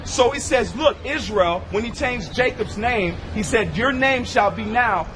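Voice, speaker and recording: A young man preaching on a city sidewalk, arguing loudly and reading scripture in a slow booming cadence. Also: lively intonation. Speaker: street preacher. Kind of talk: street debate. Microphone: camera mic at close range. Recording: outdoor street noise.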